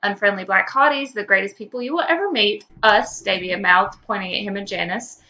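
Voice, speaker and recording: Bright, forceful woman podcast co-host. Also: medium pitch, a little fast, muffled recording. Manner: slangy, informal, expressive. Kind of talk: casual chat and animated reading aloud of fan fiction.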